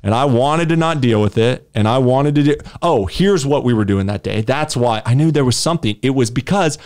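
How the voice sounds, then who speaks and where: A man's voice: medium pitch, lively intonation, fairly quick, a little mellow. Emphatic man ranting on a podcast. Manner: emphatic, rambling, defiant, with frequent restarts. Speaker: podcast co-host. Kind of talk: casual podcast chat.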